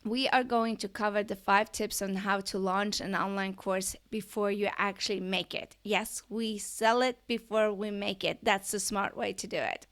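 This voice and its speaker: A woman's voice: mid-range voice, steady tone, moderate pace, few pauses, fairly bright tone. A woman from Norway with a Norwegian accent, talking as an online business coach in an eager, chatty podcast monologue that often asks the listener questions.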